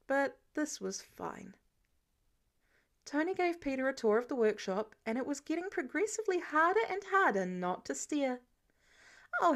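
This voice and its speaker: A woman narrating fiction as a scripted audiobook reading. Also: a little high.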